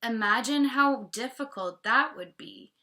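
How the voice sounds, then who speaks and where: A woman's voice: fairly high pitch, measured pace, sharp tone. A woman from Canada reading a picture book aloud as a teacher and explaining it simply to children.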